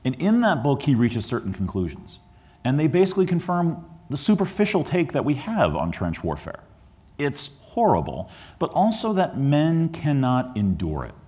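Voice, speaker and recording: A man's voice: fairly high pitch, wildly swooping pitch, moderate pace, mellow. A man from the Chicago area, a historian, giving a lecture on his book at a museum. He speaks earnestly, with frequent ums and restarts. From Chicago area, USA.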